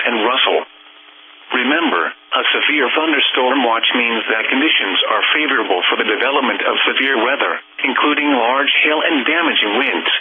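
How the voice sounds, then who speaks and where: A synthetic American male voice reading scripted emergency weather bulletins in a formal, impersonal, measured manner. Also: medium pitch. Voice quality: synthetic text-to-speech voice. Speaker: automated weather radio announcer. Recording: noisy, narrow-band weather radio broadcast.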